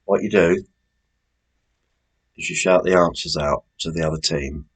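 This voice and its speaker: A man's voice: low-pitched, a little slow, breathy edge. A British man presenting a quiz in a chatty, informal way, as the host reading out questions.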